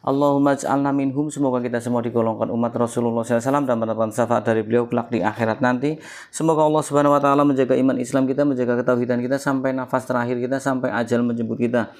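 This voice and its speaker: Guy w a stuffy nasal voice, slow prayerful talk to camera, lots of pauses n fillers, normal mid pitch. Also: flat delivery, darkish tone. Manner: measured, prayerful openings with drawn-out pauses and fillers. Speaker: keris collector and channel host. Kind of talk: slow devotional-toned explanation to camera.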